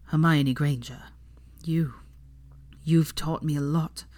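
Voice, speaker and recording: A woman's voice: deep voice, steady tone, slow pace, a little mellow, dry recording. A woman voicing an anxious, stubborn young man in love, self-conscious and easily flustered, in an audiobook-style story reading. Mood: earnest, tender.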